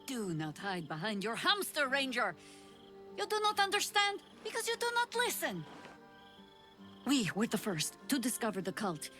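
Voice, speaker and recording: A woman's voice: a little high, wildly swooping pitch, normal speed, bright, forceful tone, full character voice. An actress playing a stern, weary, middle-aged adventurer in a fantasy video game, dry and scolding in her delivery. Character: stern, weary, exasperated veteran female adventurer. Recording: music behind the voice.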